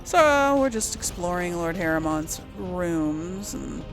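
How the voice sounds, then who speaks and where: A woman's voice: lowish voice, animated, slow, music in the background, low-quality recording. A woman giving casual, rambling commentary as she plays a video game, thinking aloud to her viewers. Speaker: gamer hosting a role-play let's play.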